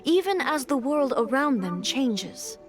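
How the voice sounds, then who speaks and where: High-voiced, solemn regal goddess. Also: female voice, very animated. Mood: reflective, solemn. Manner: formal, measured, aloof. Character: solemn, regal goddess ruler, reserved and resolute. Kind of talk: video game character acting. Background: background music.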